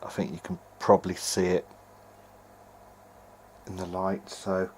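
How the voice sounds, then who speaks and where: Male hobbyist, lowish voice, hesitant, chatty DIY repair narration, faint background noise. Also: monotone, unhurried, darkish tone. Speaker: vintage hi-fi hobbyist narrating own repair video. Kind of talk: informal hands-on repair video diary.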